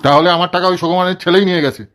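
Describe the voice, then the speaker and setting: A man's voice: high voice, fairly even intonation, rapid-fire. A man voicing a grumpy foul-mouthed village husband. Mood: grumbling. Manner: swears constantly, complains loudly. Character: grumpy crude middle-aged village husband. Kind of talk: cartoon comedy voice acting.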